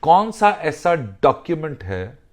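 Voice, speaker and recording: A man explaining legal rules in a deliberate way, mixing in English legal phrases. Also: fairly high pitch, sing-song. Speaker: legal instructor.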